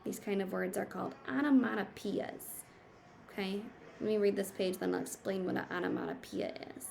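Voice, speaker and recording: A woman's voice: medium pitch, moderate pace, creaky, darkish tone, slight background noise. A woman reading a picture book aloud like a teacher, expressively, with simple explanatory asides for children.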